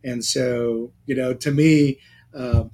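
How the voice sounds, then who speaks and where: A man's voice: mid-range voice, slow pace. A middle-aged man with an ordinary mid-range voice. He talks casually and loosely, often restarting his sentences. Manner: relaxed, rambling, with restarts. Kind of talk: casual conversation.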